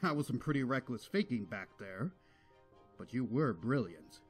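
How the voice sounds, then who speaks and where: A man playing a regal unicorn king and protective father in a cartoon, speaking in a grand, measured way. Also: medium pitch, animated, close to an everyday voice. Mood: impressed. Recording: music under the voice.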